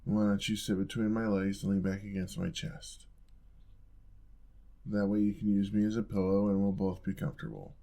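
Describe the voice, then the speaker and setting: A man acts out a young male roommate in a cozy boyfriend-style audio roleplay. His voice sits fairly low with a strong crackle of fry. He speaks softly and casually, affectionate and playfully teasing as he coaxes the listener. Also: flat delivery, moderate pace. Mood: gentle, caring. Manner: soft-spoken, teasing, casual, coaxing.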